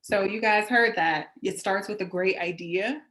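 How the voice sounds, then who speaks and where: A woman's voice: medium pitch, measured pace, fairly bright tone. A woman interviewing a guest as the host of an event, in a conversational style.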